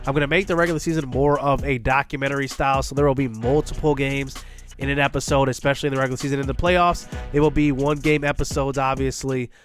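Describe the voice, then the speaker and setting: A man with a congested voice giving excited play-by-play commentary on a football video game as a gaming channel host. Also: mid-range voice, fast talker, faint music behind. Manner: excited play-by-play, shouting on big plays. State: congested from illness.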